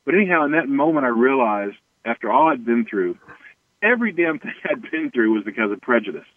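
An American man from Tennessee telling his personal story earnestly and haltingly as an interview guest. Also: medium pitch, sing-song, no echo. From Tennessee, United States. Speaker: interview guest, anti-racism activist. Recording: tinny band-limited phone-like line.

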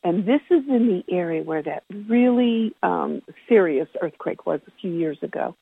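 A husky conference call presenter talks through a report from notes in an informal, conversational way, correcting along the way. The voice has a creaky edge and comes over a narrow, tinny telephone line with some echo. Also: mid-range voice, expressive, normal speed.

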